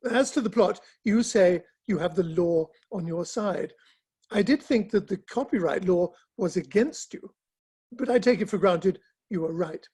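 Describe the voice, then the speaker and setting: An earnest, frank Victorian gentleman, played by a man in a stage reading. The voice is husky, and the delivery is measured and formal, that of a principled friend. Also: high-pitched, full character voice. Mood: earnest, firm. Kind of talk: online dramatic play reading.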